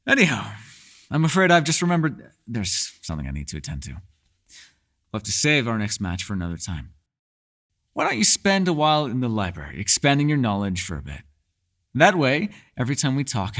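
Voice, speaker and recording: A man's voice: mid-range voice, fairly bright tone. Casting: male actor for a bookish, secretive elf in fantasy ASMR roleplay; mid-range male voice, swooping intonation, playful and faintly formal. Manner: theatrical, playful, slightly formal phrasing. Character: mysterious male elf, erudite, teasing, secretive.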